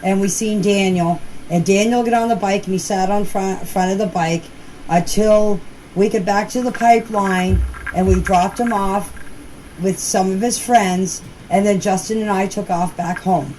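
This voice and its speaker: A woman's voice: mid-range voice, steady tone, moderate pace, mellow. A woman giving a casual, rambling personal account in plain, folksy words, recorded as voice messages. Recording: noisy voice-message clips with background ambience.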